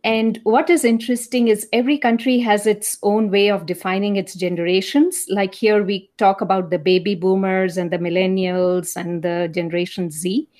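A woman's voice: mid-range voice, fairly even intonation, normal speed, hardly any pauses, darkish tone. A woman in her fifties from India with an Indian accent, speaking thoughtfully as an academic guest in an interview. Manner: thoughtful, reflective storytelling. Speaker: guest, associate professor. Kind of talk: conversational interview.

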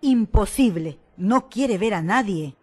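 A woman's voice: mid-range voice, lively intonation, slow, mellow, husky. A woman playing a practical, impatient young student in a radio drama. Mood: concerned.

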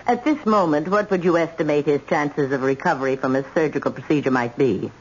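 A woman's voice: low voice, brisk, close to an everyday voice. An actress playing a haughty, embittered old grandmother with a formal, cutting way of talking in a radio drama. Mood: cold, probing. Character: embittered, imperious old grandmother, cold and vengeful. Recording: dull, noisy old radio broadcast.

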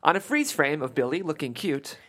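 A man's voice: a little high, big pitch swings, a little fast, fairly bright tone. A man hosting and reading script directions aloud, upbeat and chatty in his presenting. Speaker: show host and stage-direction reader.

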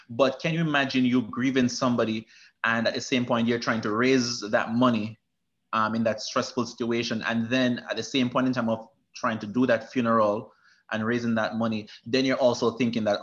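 Jamaican man, halting and lo-fi. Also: medium pitch, a bit bright. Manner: hesitant, with many restarts and fillers. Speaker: guest, retirement specialist. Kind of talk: casual interview talk.

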